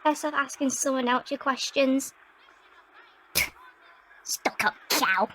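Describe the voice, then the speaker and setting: An actress playing a bitter Victorian street beggar, blunt and scornful. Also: female voice, high-pitched, slow, noisy background.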